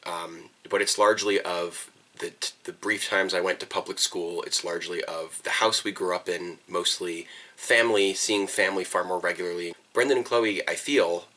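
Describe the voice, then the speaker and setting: Young man musing, bright low voice. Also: fairly even intonation, moderate pace, hardly any pauses. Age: young adult. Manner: thoughtful, hesitant, repeats words while searching. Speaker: vlogger. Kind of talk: reflective personal documentary talk. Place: small bedroom indoors.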